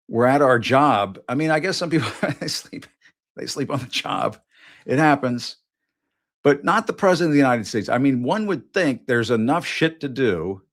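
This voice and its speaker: Middle-aged guy news host, sarcastic riffing, keeps restarting and repeating himself. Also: medium pitch. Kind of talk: casual political commentary.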